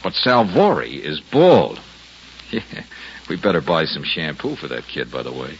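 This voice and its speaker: An actor playing a confident, wry private detective in a 1950s radio drama, with a brisk, wisecracking, self-assured delivery. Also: male voice, very deep voice, sing-song, exaggerated voice. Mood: knowing. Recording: dull, noisy old radio recording.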